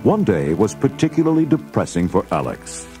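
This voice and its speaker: A man narrating a scripted children's read-along story in a dramatic, clearly phrased way. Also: fairly low pitch, sing-song, normal speed, over music.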